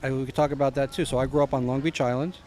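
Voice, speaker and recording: A man's voice: mid-range voice, fairly even intonation, rapid-fire, darkish tone. A middle-aged man from New Jersey, chatting as a podcast guest, telling long stories, dropping names and trailing off with fillers. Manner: rambling storyteller, drops names, trails off with "uh". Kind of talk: casual chat.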